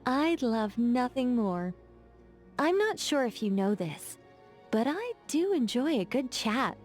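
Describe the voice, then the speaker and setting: A woman's voice: fairly high pitch, big pitch swings, slow pace, a little mellow, faint music behind. An actress voicing a poised, playful female mage in a game, talking in a wry, teasing, chatty way. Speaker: game character. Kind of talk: game voice lines.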